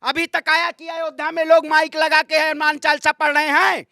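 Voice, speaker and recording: Man, very high voice, bright and forceful, declamatory political interviewee, repetitive emphasis. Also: monotone, normal speed, no echo, studio quality. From Ayodhya, Uttar Pradesh, India. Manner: combative, declamatory, repeats phrases for emphasis. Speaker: political activist being interviewed. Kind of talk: impassioned street interview, political oratory.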